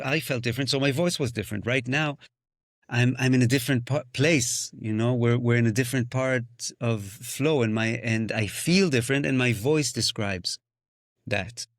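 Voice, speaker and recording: A man's voice: mid-range voice, moderate pace, dry recording, clean studio sound. A man whose first language is Hebrew, a musician and singing-circle facilitator, talking thoughtfully in a podcast conversation, often restarting phrases and slipping into sung sounds.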